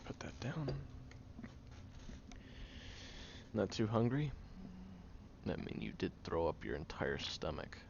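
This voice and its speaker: Audio roleplay: male actor as tired tsundere guy, gruff but secretly caring, halting, creaky voice with lively swings in pitch. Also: exaggerated voice. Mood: weary, caring. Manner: halting, sighing, grumpy and flustered. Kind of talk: intimate audio roleplay, acted monologue to the listener. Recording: dull lo-fi, clear background noise.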